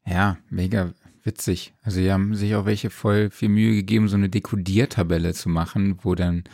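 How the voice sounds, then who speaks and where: A man's voice: sits low, little pitch movement, moderate pace, darkish tone, no echo, studio quality. A man hosting a casual interview, informal and appreciative, reacting in slangy everyday words. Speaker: podcast host, interviewer.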